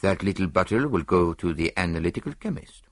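A man voicing a dapper, self-satisfied little foreign detective in an audiobook, speaking precisely and deliberately. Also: low-pitched, lively intonation, no echo, studio quality. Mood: placid.

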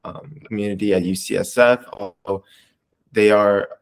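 A man in his twenties with an American accent, answering interview questions casually in a hesitant, halting way with many pauses. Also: lowish voice, steady tone, creaky. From Southern California, United States. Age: 20s. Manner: hesitant, halting, pausing often between short phrases. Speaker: interviewee, community advocate.

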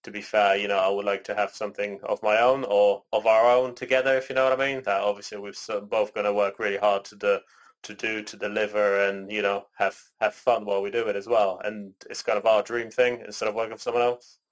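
A man raised in Canada, co-hosting a podcast in relaxed casual chat, rambling with lots of "you know" and "sort of.". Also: on the low side, brisk, low-quality recording. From born in Poland, raised in Canada. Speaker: podcast co-host.

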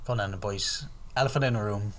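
A man co-hosting a property podcast, speaking in a measured way with a dry sense of humour. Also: lowish voice, a bit bright. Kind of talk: casual podcast chat.

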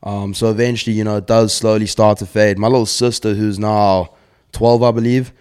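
South african guy, bit of a us twang, lowish voice, swears a lot, rambly chat. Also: little pitch movement, brisk, darkish tone, clean tone. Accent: South African with American twang. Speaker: guest. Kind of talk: casual chat.

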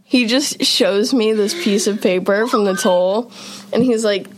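A woman's voice: mid-range voice, measured pace, slight background noise, poor audio. A young woman co-hosting a casual podcast, telling an embarrassing story with giggles and self-deprecating asides. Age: young adult. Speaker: podcast co-host. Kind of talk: casual chat.

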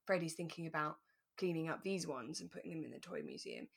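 A woman's voice: deep voice, quick pace, vocal fry, breathy edge. A woman co-hosting a podcast, chatting casually and playfully about a radio drama. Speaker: podcast co-host.

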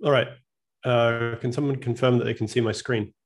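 A man's voice: mid-range voice, fairly even intonation, moderate pace, soft background music. A man in his thirties with an Australian accent, giving a friendly, self-deprecating slide presentation as a guest physicist over a video call.

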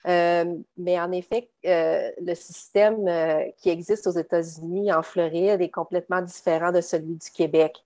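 Woman in her fifties, guest expert, lowish and measured. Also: fairly even intonation, a little mellow. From Quebec. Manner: measured, explanatory, many fillers. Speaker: guest lawyer. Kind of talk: expert explanation in a podcast interview.

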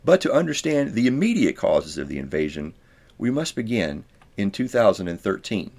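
A man reading his own nonfiction book aloud as scripted narration, in a measured, formal way. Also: fairly low pitch, sing-song, gravelly, darkish tone.

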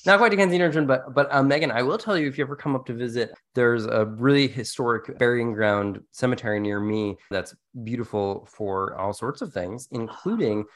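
A man's voice: medium pitch, fairly quick, hardly any pauses, fairly bright tone. A man speaking as a podcast co-host in an informal, conversational discussion, explaining things with casual asides.

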